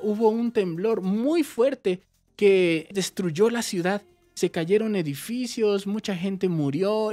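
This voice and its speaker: Mexican guy, 30s, gaming vlogger, lively casual Q&A, soft music underneath. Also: sits high. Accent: Mexican Spanish. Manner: chatty, animated, waves arms while talking. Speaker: YouTube gaming channel host. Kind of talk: casual Q&A vlog. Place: small indoor room with game shelves.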